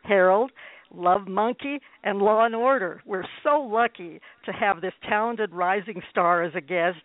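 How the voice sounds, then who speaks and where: A man hosting a radio interview show, enthusiastic and warm-hearted in his praise, often stumbling and restarting his questions. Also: mid-range voice, dry recording. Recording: tinny lo-fi line.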